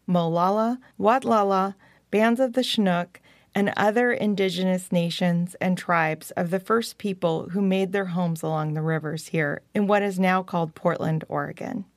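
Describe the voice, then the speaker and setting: An American woman reads scripted podcast credits as the host. Her voice sits at a medium pitch, and the recording is dry with very little room sound. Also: no echo.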